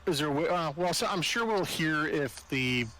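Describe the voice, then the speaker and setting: A man's voice: a little high, lively intonation, normal speed, bright, forceful tone. A man playing a tabletop game, casually thinking aloud in hesitant fragments. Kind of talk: casual group game chat. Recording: faint background noise.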